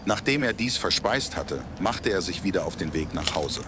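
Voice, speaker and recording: A man's voice: low voice, lively intonation, moderate pace, noisy background. A man narrating a humorous scripted audio drama in a storybook style. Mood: measured storytelling.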